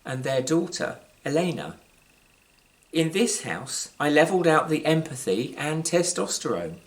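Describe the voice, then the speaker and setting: A British man reads his own short story aloud in a measured, wry way, with deliberate pauses and varied emphasis. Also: fairly high pitch. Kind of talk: scripted literary storytelling, live reading.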